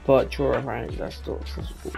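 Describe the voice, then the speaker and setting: A man's voice: mid-range voice, flat delivery, moderate pace, creaky, music in the background. A man giving casual gameplay commentary, musing aloud in loose phrases.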